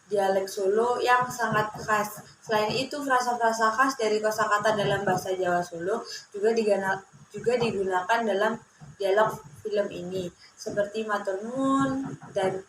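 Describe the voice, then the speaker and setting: A young woman, a university student, reading her class presentation aloud at a steady pace and often restarting words she trips over. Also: mid-range voice. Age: young adult. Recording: echoey room with faint background noise.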